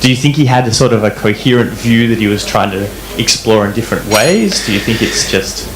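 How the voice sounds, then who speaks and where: A man from the audience, an academic, asking a long, winding question that grows animated. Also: fairly low pitch, brisk, reverberant, a crowd in the background, low-quality recording. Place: club event room.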